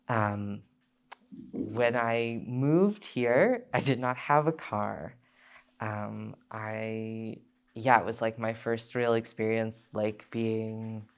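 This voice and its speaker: Slow, smooth-voiced young man. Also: mid-range voice. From Ontario, Canada. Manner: halting, many fillers and restarts. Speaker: speaker sharing his story. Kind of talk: personal story talk.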